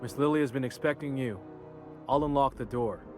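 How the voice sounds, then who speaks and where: A man voicing a smooth receptionist who greets customers of a salvage firm in a video game. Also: mid-range voice, fairly quick, darkish tone, faint music behind, natural voice. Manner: polished sales patter. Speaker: shop receptionist.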